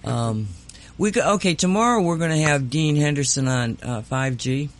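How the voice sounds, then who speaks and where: A man's voice: a little high, animated, moderate pace, slight background noise. A man hosting a radio show, chatting in a teasing way with many restarts. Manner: teasing, restarts often, laughs a lot. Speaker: radio host. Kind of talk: casual chat.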